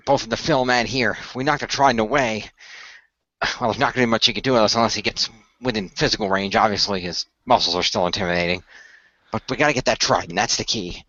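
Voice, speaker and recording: Man playing an earnest hero, bright but lo-fi. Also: medium pitch, no room sound. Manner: earnest, planning aloud. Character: earnest, tactical young male hero with battle rings.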